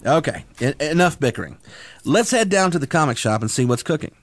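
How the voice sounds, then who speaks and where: A man's voice: on the high side, sing-song, a little fast. A man playing a self-important, griping young nerd who leads a gang of misfits in a comic radio drama. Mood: exasperated, scheming. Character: whiny, megalomaniac young male nerd gang leader.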